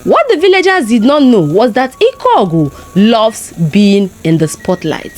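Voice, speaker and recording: A Nigerian-accented woman narrates a folktale as a storyteller. Her voice sits fairly high and swings very widely in pitch, with expressive delivery throughout. Music plays faintly behind her. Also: unhurried.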